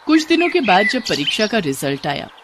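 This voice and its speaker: A woman narrating scripted moral stories in an expressive storytelling style. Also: mid-range voice, fairly quick, noisy background.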